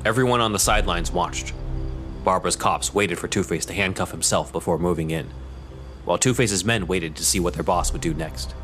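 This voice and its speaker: A man narrating an audio drama in a steady storytelling delivery. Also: low-pitched, a little fast, soft background music.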